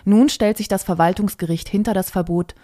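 A woman's voice: medium pitch, steady tone, brisk, mellow, no room sound, studio quality. A woman reading a scripted news report aloud as a presenter.

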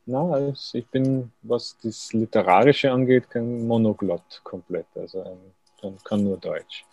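A man's voice: mid-range voice, a little slow, creaky, a little mellow, dry recording. A man, a writer thinking aloud in a thoughtful, self-deprecating way as a radio interview guest.

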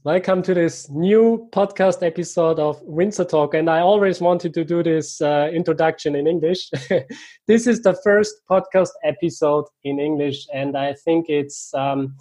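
A man's voice: on the high side, darkish tone. A man hosting a podcast in English with a German accent, friendly and a bit hesitant, laughing easily. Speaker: podcast host. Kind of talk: casual interview hosting.

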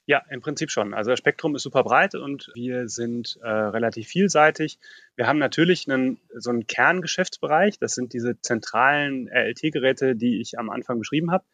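Gravelly-voiced man, easygoing guest. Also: mid-range voice, normal speed, no room sound. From Ruhr area, Germany. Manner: relaxed, many filler phrases. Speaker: test lab engineer, guest. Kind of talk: casual interview answers.

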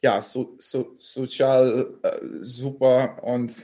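Slow, halting man. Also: mid-range voice, level tone, mellow. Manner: hesitant, searching for words, many fillers. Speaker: athlete guest. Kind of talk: podcast interview.

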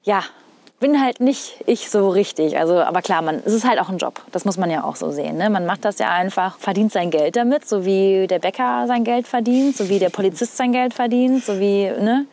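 A woman's voice: mid-range voice, brisk, a little mellow. Young German woman, thoughtful and hesitant. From northern Hesse, Germany. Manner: thoughtful, hesitant, many fillers. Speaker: guest, TV weather presenter. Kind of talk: casual interview chat. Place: outdoors.

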